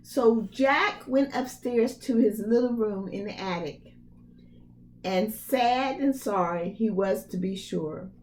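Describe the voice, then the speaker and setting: A woman's voice: medium pitch, slight background noise. A woman reading a storybook aloud to young children expressively, with casual asides and emphatic phrasing. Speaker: preschool read-aloud storyteller.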